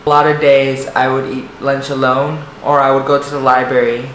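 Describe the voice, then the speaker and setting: Soft-voiced young man telling his story. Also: mid-range voice, level tone, moderate pace. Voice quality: light, soft voice. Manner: hesitant, rambling, many restarts and fillers. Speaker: vlogger. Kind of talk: personal storytelling to camera. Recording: echoey room, faint noise.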